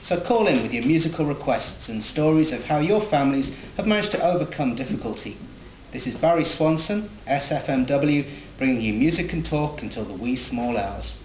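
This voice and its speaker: Man doing a radio DJ, Northern English accent, flat broadcast delivery, dull roomy lo-fi recording. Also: mid-range voice, fairly quick, a little hiss or hum, close to an everyday voice. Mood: upbeat. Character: male radio DJ, newsreader and doctor. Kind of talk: scripted audio drama reading.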